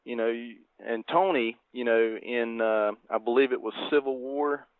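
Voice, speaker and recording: A man's mid-range voice comes over a narrow, phone-like line with no room sound. He is a podcast co-host discussing a film casually, in a halting, reflective and appreciative manner. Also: medium pitch, no echo. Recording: thin phone-like line, dry.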